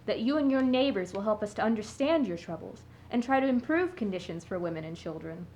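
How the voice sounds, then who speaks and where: A woman's voice: medium pitch, lively intonation, a little fast, a little mellow, natural voice. A woman playing a genteel, wry suffragist society lady in a radio play, poised and persuasive. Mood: earnest, composed. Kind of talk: stage-style radio play acting. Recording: faint background noise.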